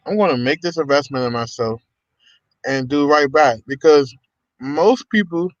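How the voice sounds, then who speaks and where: A man's voice: fairly high pitch, normal speed, no echo, lo-fi sound. A man hosting a casual livestream podcast, welcoming viewers with upbeat energy and lots of ums and uhs. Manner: upbeat, hype-style welcomes with many fillers. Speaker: podcast host.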